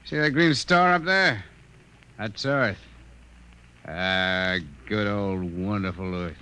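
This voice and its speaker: An actor playing a brash, boastful small-time food stand owner with a folksy American way of talking, in 1950s radio drama style. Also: male voice, on the low side, takes it slowly, pushed and bright, a little hiss or hum. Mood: expansive, gloating. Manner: folksy, blustering.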